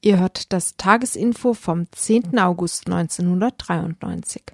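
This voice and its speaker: Creaky-voiced woman announcing radio news. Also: lowish voice, moderate pace, no room sound, studio quality. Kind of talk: scripted station announcement.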